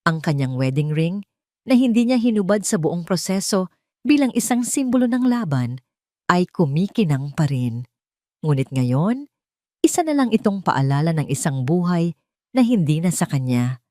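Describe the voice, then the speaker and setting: A Filipino woman delivering dramatic scripted story narration in a measured, sentimental way with deliberate phrasing. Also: fairly low pitch, big pitch swings, dark tone, no room sound, clean studio sound.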